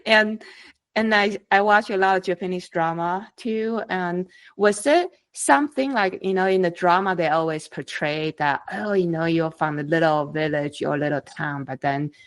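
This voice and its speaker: A woman's voice: lowish voice, moderate pace. A woman from Taiwan, hosting a podcast interview in a chatty way with loose phrasing. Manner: chatty, loose phrasing with many "you know"s. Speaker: podcast host. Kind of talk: casual interview.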